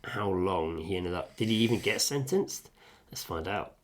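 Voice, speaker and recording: Sweary animated podcast co-host, man. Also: low-pitched, normal speed. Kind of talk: casual chat, reading out stories. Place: home kitchen.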